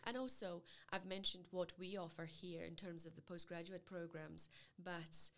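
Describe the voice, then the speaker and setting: A woman's voice: fairly low pitch, fairly even intonation, moderate pace, a bit bright. A woman from Poland with a Polish accent, a psychology lecturer in an interview, speaking carefully with many hesitations. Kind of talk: interview about university study.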